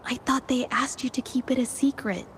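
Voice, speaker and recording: An actress playing a shy, gentle young female painter in a video game, hesitant and polite. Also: high voice, steady tone, moderate pace, slight background noise, natural voice. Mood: polite, uncertain. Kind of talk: video game character acting.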